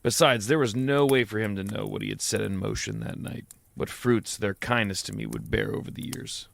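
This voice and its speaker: An actor playing a reborn former killer, giving testimony in a measured, earnest and formal way in an audio drama. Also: male voice, lowish voice, steady tone, creaky.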